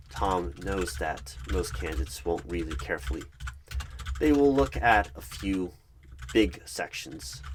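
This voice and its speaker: A man narrating an ASMR roleplay in the third person, deliberately, in short dramatic phrases. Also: low-pitched, slight background noise.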